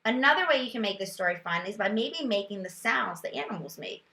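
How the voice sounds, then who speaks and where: A woman teacher demonstrating a children's read-aloud, moving between explanation and expressive, sing-song reading with questions for a child. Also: medium pitch, brisk, vocal fry. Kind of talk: read-aloud lesson with reading tips for parents. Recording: roomy echo.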